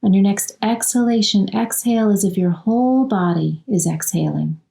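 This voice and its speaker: A woman leading a guided relaxation as a yoga nidra instructor, speaking soothingly in short, unhurried phrases with long pauses. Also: medium pitch, vocal fry, dark tone.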